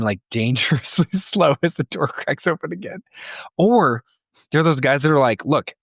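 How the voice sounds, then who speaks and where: A man's voice: medium pitch, dry recording, clean studio sound. A man co-hosting a podcast, bantering casually with blunt, sweary and emphatic opinions. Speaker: podcast co-host.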